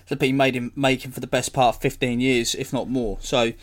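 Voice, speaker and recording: Podcast guy, hoarse and croaky, sick, rambly self-correcting chat. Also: medium pitch, level tone, fairly quick. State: hoarse from illness. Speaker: podcast host. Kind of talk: casual solo podcast intro.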